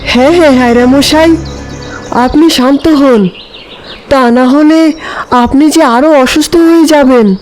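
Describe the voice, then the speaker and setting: Cartoon bird: actress as inquisitive, folksy kite, high voice, unhurried delivery, faint music. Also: female voice, a little mellow. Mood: friendly, concerned. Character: chatty, concerned, friendly kite bird.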